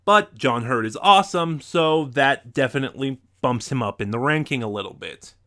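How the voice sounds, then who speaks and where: A man giving an opinionated review, speaking in a measured way with emphatic stresses on key words. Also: mid-range voice, expressive, pushed and bright.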